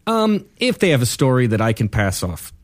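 A man's voice: mid-range voice, sing-song, moderate pace, no room sound, studio quality. A man with a Midwestern accent, a defense lawyer talking casually in an interview, blunt and profane, acting out what people said. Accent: Midwestern American. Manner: blunt, profane storyteller who acts out quotes.

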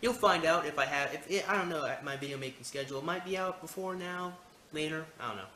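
A man's voice: on the high side, fast, bright, forceful tone. A man giving rambling video commentary, hesitant and often digressing. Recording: echoey, noisy homemade video.

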